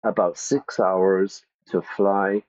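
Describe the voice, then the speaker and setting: A man's voice: low voice, flat delivery, slow, darkish tone, highs cut off. A man teaching English to learners, reading out quiz questions and repeating the answer options. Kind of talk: ESL quiz lesson.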